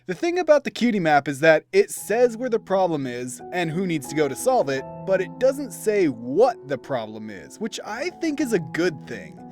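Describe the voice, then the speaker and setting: A man's voice: high voice, huge pitch swings, normal speed, few pauses, darkish tone. A man narrating a scripted review as host in a theatrical, opinionated manner. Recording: background music behind voice. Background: instrumental music.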